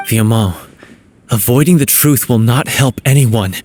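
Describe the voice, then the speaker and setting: A man voicing a solemn, resolute stage performer in a video game, speaking gravely and earnestly. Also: medium pitch, unhurried, darkish tone, a little hiss or hum, natural voice. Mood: solemn, determined.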